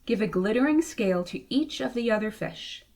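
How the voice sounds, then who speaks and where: Storybook sea oracle, female reader performing: wise female octopus, grave, measured, solemn. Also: fairly high pitch, expressive, a little mellow. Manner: grave, oracular. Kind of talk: children's storybook character voice.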